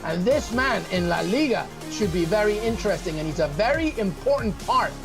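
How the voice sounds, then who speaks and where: A man from Peru giving emphatic soccer analysis on a sports show, talking fast and using rhetorical questions. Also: high-pitched, animated, music in the background.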